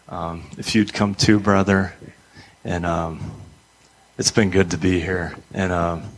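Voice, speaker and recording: Male church officiant, fairly low voice, ceremony announcements and presentations. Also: poor audio. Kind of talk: informal ceremony announcements and formal reading.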